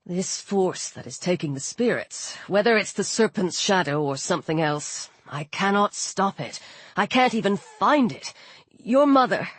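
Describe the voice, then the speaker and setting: A woman's voice: lowish voice, animated, unhurried, slightly rough, no echo. A woman voicing a weary, dignified father who rules the underworld, speaking in a firm, dry, formal way. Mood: despondent.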